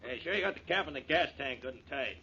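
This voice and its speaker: Gruff, fussy male motorist, creaky voice with animated pitch, vintage radio drama. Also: fast, heavily acted. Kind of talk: 1940s radio acting. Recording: dull 1940s radio recording.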